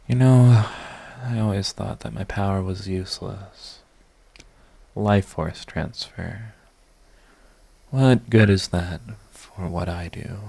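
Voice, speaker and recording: A man's voice: sits low, creaky, darkish tone, exaggerated voice. A man playing a proud villain who is secretly devoted to his rival, in an intimate, emotional audio roleplay. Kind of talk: dramatic ASMR audio roleplay.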